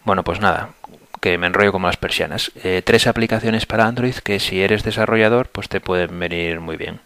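Hoarse, gravelly low-voiced man. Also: brisk, a little hiss or hum. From Asturias, Spain. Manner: relaxed, rambling, many fillers and restarts. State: hoarse from a flu. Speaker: podcast host. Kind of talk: casual solo podcast monologue. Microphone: headset mic on a computer.

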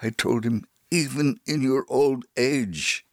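A man's voice: mid-range voice, slow pace, dry recording, studio quality. A man giving a dramatic reading of a first-person story, narrating as the storyteller. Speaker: reader of a first-person memoir.

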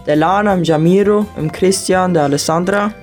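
A man's voice: high voice, fairly quick, darkish tone. Teenage boy presenter over music. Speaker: student presenter. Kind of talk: scripted radio sign-off.

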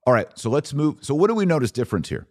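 A man's voice: medium pitch, very animated, very fast, darkish tone, dry recording, clean studio sound. A man hosting a podcast, explaining things in an informal, conversational and emphatic way, repeating key phrases. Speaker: podcast host. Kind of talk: informal explanatory talk on church liturgy.

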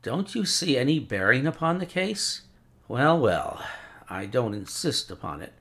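A man voicing a sharp, confident male detective who reasons in a crisp, measured way. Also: medium pitch, very animated, heavily acted. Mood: reasoning.

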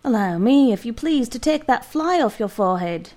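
A woman's voice: fairly high pitch, animated, moderate pace, a little mellow, lightly acted. A woman voicing a cold, frail male doctor who speaks feebly and icily in a read-aloud story. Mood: coolly polite. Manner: feeble and icy.

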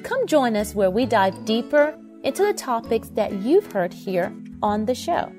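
Woman reading an outro, lively pitch, soft music under her. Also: a little slow. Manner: inviting, friendly. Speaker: podcast host outro. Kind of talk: scripted promo outro. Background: background music.

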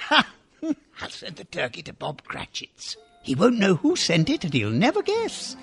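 An actor playing an elderly English former miser, giddy and overjoyed, in an excitable, stammering, theatrical radio drama style. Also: male voice, high-pitched, gravelly, exaggerated voice. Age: older.

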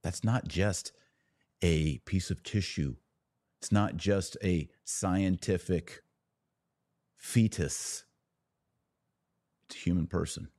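A man hosting a podcast, giving opinionated religious commentary in an emphatic, declamatory manner with pauses between short phrases. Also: sits low, a little mellow, no room sound.

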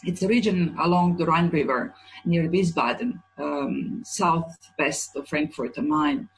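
A woman in her forties from Croatia, an author answering interview questions in a thoughtful, halting way. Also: on the low side, animated, rough recording. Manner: thoughtful, halting, searching for words. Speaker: children's author, guest.

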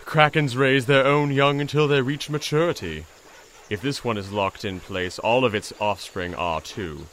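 A man's voice: mid-range voice, moderate pace, a bit bright, a little hiss or hum, lightly acted. A man doing a pompous heroic toad. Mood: earnest. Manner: grandiloquent, formal diction. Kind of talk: audio drama acting.

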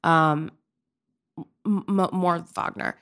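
Young woman guest, lower register, fry-heavy, loose and chatty. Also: fairly even intonation, slow, a bit bright. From Pennsylvania. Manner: chatty and rambling, with many filler likes. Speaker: guest, opera-trained improv performer. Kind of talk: casual interview chat.

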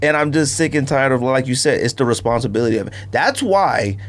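A man's voice: medium pitch, lively intonation, fairly quick. A man in casual podcast conversation, slangy and emphatic, repeating himself to drive a point home. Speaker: sneaker podcast co-host.

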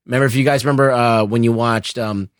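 A man's voice: mid-range voice, steady tone, quick pace, no echo. Blunt man, dry close recording. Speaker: podcast co-host. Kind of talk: casual explanatory pop culture chat.

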